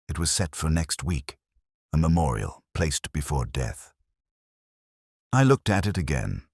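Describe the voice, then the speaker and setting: A man voicing a pensive, wry police inspector who narrates a mystery story in a measured, literary, deliberate way. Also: rumbling low, no room sound, studio quality, exaggerated voice. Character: pensive, wry older English male police inspector narrating his case. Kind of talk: scripted detective story narration.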